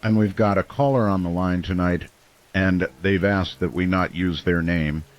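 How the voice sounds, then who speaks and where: A man's voice: low-pitched, steady tone, moderate pace, muffled recording, lightly acted. A man playing a concerned late-night radio host in an audio drama about a small town. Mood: concerned, probing. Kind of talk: radio drama talk-show hosting. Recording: noisy, rain ambience behind.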